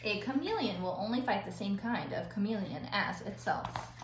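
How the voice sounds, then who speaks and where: A woman teacher reading aloud to children in an expressive, playful way, drawing out words for emphasis and adding chatty asides. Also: medium pitch, moderate pace. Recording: roomy echo with faint background noise.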